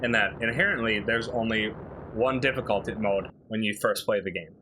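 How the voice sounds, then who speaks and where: A man's voice: lowish voice, moderate pace, background noise. A man giving casual, chatty game commentary and explaining things like a fan. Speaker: let's play host.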